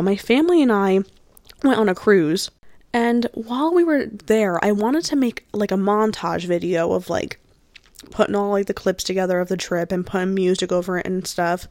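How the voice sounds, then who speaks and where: A woman's voice: medium pitch, darkish tone, no room sound. Young woman, early twenties, from New Jersey, casual podcast chat, rambling, dry sound. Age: 20s. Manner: chatty, rambling, lots of "like" and restarts. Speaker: podcast host.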